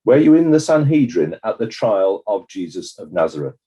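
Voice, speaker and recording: A man's voice: lowish voice, very animated, normal speed, dark tone, rough recording. A man playing a formal, polite examiner putting direct questions to witnesses in a dramatized inquest. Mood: formal, inquiring.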